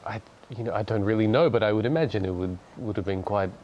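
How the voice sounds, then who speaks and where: A man's voice: on the low side, fast, dull audio. A man, answering interview questions hesitantly and chuckling easily. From Australia. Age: 30s. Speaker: guest musician. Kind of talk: casual interview chat.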